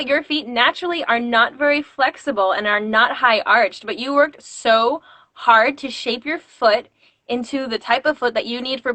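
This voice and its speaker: Ballet teacher hosting a livestream, woman, high and bright, dry close sound, laughs a lot. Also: dry recording. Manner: warm, encouraging, laughs often. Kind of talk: live-streamed interview.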